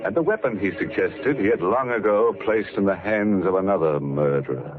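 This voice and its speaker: An actor playing a gleefully macabre, punning horror show host in a theatrical, mock-sinister 1940s radio manner. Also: male voice, low-pitched, animated, moderate pace. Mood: ominous. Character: ghoulish, gleefully macabre male host at a creaking door. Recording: tinny old radio recording, organ music behind.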